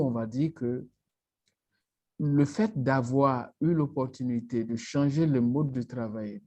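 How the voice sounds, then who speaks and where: A man speaking on a panel discussion in a measured, formal way. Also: mid-range voice, mellow. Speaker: panelist, startup advisor.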